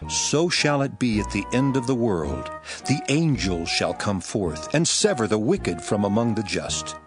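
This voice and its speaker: A solemn holy teacher speaks in a low, expressive voice. He delivers parables with authority and emphasis in an audio Bible drama, over music. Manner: measured, emphatic, preacherly.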